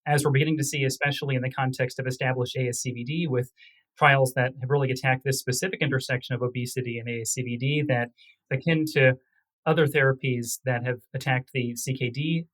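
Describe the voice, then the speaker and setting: A man speaking as a physician researcher in a medical interview, with formal, technical and measured phrasing. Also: medium pitch, fairly even intonation, a bit bright, rough recording.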